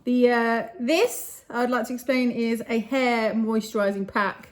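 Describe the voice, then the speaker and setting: A woman with a British accent, chatting casually and self-mockingly to her phone camera, laughing often, her voice a little croaky and sleepy. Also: on the high side, steady tone, a little slow, slight background noise. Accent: British English. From England. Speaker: vlogger. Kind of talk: casual vlog chat.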